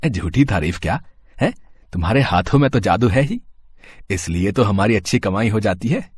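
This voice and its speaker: A man's voice: fairly low pitch, animated, normal speed, slightly rough, no echo, clean studio sound. A man voicing a humble, caring husband and street sweet seller in a cartoon story, earnest in manner.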